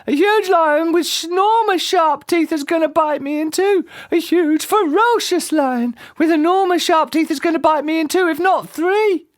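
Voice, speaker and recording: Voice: extremely high pitch, steady tone, moderate pace, few pauses, a bit bright, no echo, clean studio sound, voice pushed up. A storyteller voicing a tiny, timid male jelly creature in a shrieking, melodramatic, stammer-prone way. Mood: terrified.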